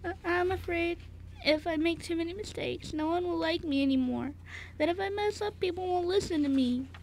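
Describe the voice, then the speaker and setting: A woman's voice: very high-pitched, a little fast, a little mellow, slight background noise, pitched above the performer's own voice. A woman voicing a nervous little boy in a radio play, hesitant and pleading as he stammers. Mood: fearful. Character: nervous, anxious little boy piano pupil. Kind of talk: amateur radio play acting.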